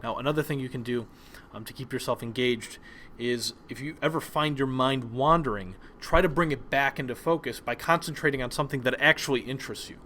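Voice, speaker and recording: Man, young adult, Polish native speaker, casual teaching, many fillers, medium pitch. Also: fairly quick, slight background noise. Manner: relaxed, many ums and restarts. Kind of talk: casual presentation lesson.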